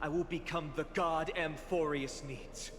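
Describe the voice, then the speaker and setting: A man's voice: fairly high pitch, fairly even intonation, unhurried, noisy background, natural voice. A man playing a resolute, noble young warrior in a fantasy game, earnest and formal, with reverb and music around his voice. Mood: determined. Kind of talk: dramatic fantasy game voice acting. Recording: long hall reverb, loud music behind.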